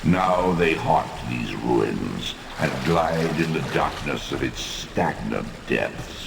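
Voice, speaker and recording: A booming, gravelly elder god. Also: male voice, animated, takes it slowly, background noise, heavily acted. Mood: ominous. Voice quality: deep, gravelly, echoing. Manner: solemn, ominous, declamatory. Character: ancient male elder god, deep and commanding. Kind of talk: video game voice acting and narration. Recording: reverberant game audio with music.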